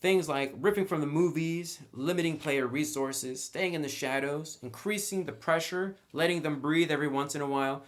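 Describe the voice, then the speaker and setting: A man's voice: a little high, normal speed, hardly any pauses. Casual, opinionated man reviewing a game book. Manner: conversational, opinionated. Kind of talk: casual unscripted review commentary.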